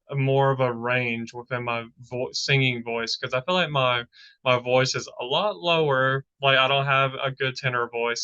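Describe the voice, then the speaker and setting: Bright-voiced man hosting a casual podcast. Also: mid-range voice, clear, resonant tone. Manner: rambling, heavy filler "like". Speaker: podcast host. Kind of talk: casual chat. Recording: dull lo-fi audio.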